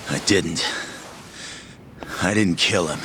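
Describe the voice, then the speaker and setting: An actor playing a bitter, defiant yakuza gangster with a gravelly voice in a dramatic game dub. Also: male voice, low voice, slow, very noisy. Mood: subdued, resigned. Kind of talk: English video game dub, dramatic acting.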